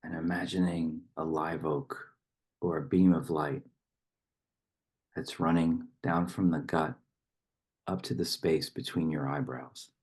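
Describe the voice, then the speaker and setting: A man reading poetry and leading a guided meditation in a gentle, unhurried manner with long pauses. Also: rumbling low, steady tone, breathy edge.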